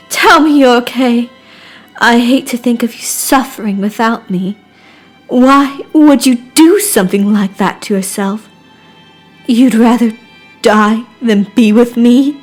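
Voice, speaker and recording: A woman voicing an obsessive, lovesick madman, theatrical and crooning, with breathy whispers, in a game character reel. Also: fairly high pitch. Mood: frantic concern, then bitter. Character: obsessive lovesick madman, tender then menacing suitor.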